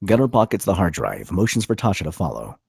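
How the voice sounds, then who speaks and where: A man's voice: sits low, sing-song, quick pace, creaky, a little mellow, husky, dry recording, studio quality. A man narrating stage directions at a live screenplay table read.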